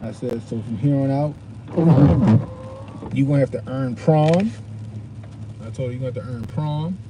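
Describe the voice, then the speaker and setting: A man in his forties, a podcast host telling a casual story in a blunt, profane and animated way, full of slang. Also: mid-range voice, moderate pace, low-quality recording. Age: 40s. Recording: loud noise behind the voice.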